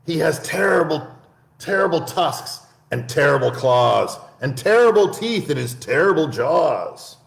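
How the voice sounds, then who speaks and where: A sly little brown mouse from a picture book, quick-witted and bold, as a man reading aloud puts him on. The voice sits high and springs up and down. It rings out in an echoey room. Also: very animated, gravelly, exaggerated voice. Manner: bright storybook voice, raised pitch.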